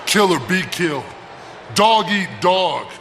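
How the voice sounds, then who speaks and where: A man delivering a wrestling promo in clipped, forceful catchphrases. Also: sits high, takes it slowly. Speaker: professional wrestler. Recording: hall reverb with faint noise.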